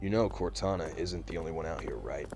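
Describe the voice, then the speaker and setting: A man's voice: sits low, a little fast, noisy background. A man playing a no-nonsense Marine captain in an audio drama, speaking directly and with command. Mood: low, wary. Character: no-nonsense male Marine captain leading his squad.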